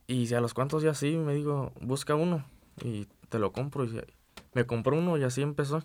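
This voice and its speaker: A man's voice: mid-range voice, brisk, a little mellow. A man. He is a musician giving casual, conversational answers as a guest. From United States. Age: early 20s. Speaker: accordion player, interview guest. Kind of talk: casual chat.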